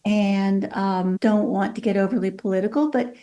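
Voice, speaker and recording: A woman's voice: medium pitch, steady tone, normal speed, mellow. A woman in her fifties who grew up in Mexico City, speaking with a Californian accent, chatting as a guest author in an interview with lots of fillers. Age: 50s. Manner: chatty, many fillers, voices her own past thoughts. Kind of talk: casual interview talk.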